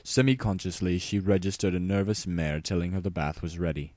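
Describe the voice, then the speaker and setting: Man, low-pitched, dramatic story narration, audiobook reading, dry close sound. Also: brisk, darkish tone, dry recording.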